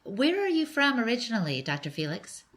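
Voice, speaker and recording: A woman's voice: a little high, big pitch swings, fairly quick, fairly bright tone. A middle-aged woman hosting a podcast interview, asking curious questions with light laughter. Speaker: podcast host. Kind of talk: interview conversation.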